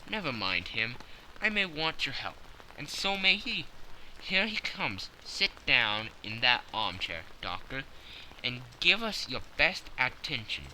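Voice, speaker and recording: A man voicing a brisk, self-assured gentleman detective in an audiobook, crisp, dry and authoritative. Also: medium pitch, big pitch swings, a little hiss or hum, full character voice, low-quality recording. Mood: brisk, eager.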